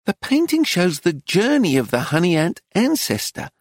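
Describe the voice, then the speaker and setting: Audiobook role: bossy middle-aged woman art dealer, played by a man, pitched high, swooping delivery, close dry sound. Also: wildly swooping pitch, moderate pace, no echo, full character voice. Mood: sweetly explaining. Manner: scolding, emphatic saleswoman. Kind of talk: acted audiobook dialogue.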